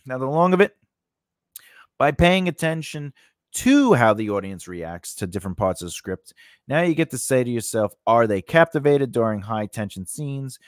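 A man's voice: medium pitch, lively intonation, normal speed, dry recording. A middle-aged man giving a conversational lesson as host, emphatic and speaking close into a studio microphone. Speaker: host of a screenwriting lesson channel. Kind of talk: conversational instructional talk to camera. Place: small indoor home studio with cloth backdrop. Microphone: close studio condenser mic on a stand.

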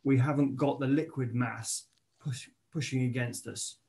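A man's voice: medium pitch, fairly even intonation, unhurried, darkish tone. A man on a club video call explaining a point hesitantly, with a self-deprecating manner and repeated words. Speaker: club member asking a question. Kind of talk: casual explanatory chat.

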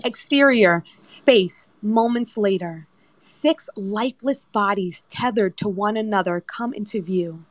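A woman's voice: medium pitch, unhurried, dry recording. A woman narrator reading screenplay directions as scripted narration. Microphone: remote audio chat app.

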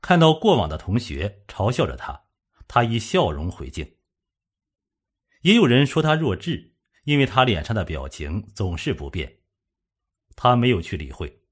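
A man narrating a suspense novel as an audiobook, in a measured, dramatic storytelling manner. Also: on the low side, huge pitch swings, breathy edge.

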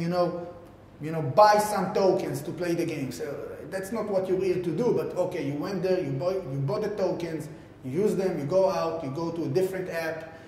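Guy doing a halting tech pitch, super echoey reverby room, faint rumble. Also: darkish tone. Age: 30s. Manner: halting, filler-heavy delivery with repeated words. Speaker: tech company founder presenting a product. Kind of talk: informal keynote explanation to camera.

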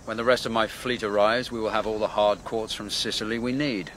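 A man's voice: lowish voice, steady tone, brisk, fairly bright tone, slight background noise, lightly acted. An actor playing a bold, wry warrior prince who commands his soldiers in a historical audio drama. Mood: confident, wry. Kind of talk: historical radio drama acting.

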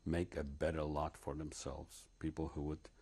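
An older man speaks in a deep, slightly husky voice. He recounts memories in a measured, reflective way, pausing and restarting often. He is recorded on a lapel mic in a living room. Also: level tone, a little mellow. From Poland. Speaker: interviewee. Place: living room, seated before curtains.

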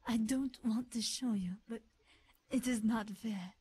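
A woman playing a gentle, earnest young castaway in a visual novel game, with a very breathy voice. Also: on the high side, unhurried, no room sound. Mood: hesitant, apologetic.

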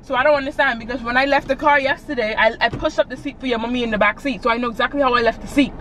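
High-voiced young woman, accusing and sarcastic. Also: steady tone, rapid-fire, a bit bright, slight background noise. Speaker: vlogger's girlfriend. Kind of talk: confrontational argument in a car. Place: passenger seat of a car. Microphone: camera on the dashboard.

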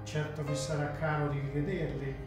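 Man playing a high-pitched, teasing hotel waiter. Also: little pitch movement, normal speed, lightly acted. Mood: chatty, confiding. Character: chatty, teasing hotel waiter, male adult. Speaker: actor in a radio drama. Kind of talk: radio drama acting. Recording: echoey room, faint guitar music behind.

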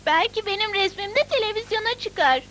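A woman's voice: very high-pitched, a little fast, background noise. A woman voicing a friendly, matter-of-fact young city girl in a Turkish cartoon dub. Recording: old VCD dub with music.

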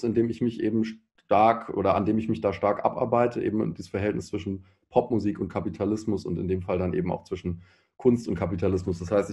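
Male discussion guest, thirties, low mellow voice, halting and thoughtful, reworks sentences as he goes. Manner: halting, searching, often rephrasing mid-sentence. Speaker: panel guest, pop musician. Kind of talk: reflective panel discussion.

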